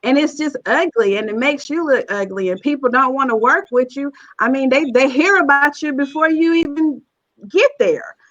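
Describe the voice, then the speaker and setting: Woman panelist, bright forceful voice, blunt delivery, dull recording. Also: high-pitched, moderate pace. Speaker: stage play writer-director. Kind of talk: panel chat.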